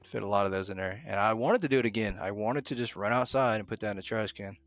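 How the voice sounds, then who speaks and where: A man's voice: fairly low pitch, fast talker, a bit bright, no room sound, faint music behind. A man giving live gameplay commentary as a streamer, thinking aloud and muttering to himself as he plays. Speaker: game streamer.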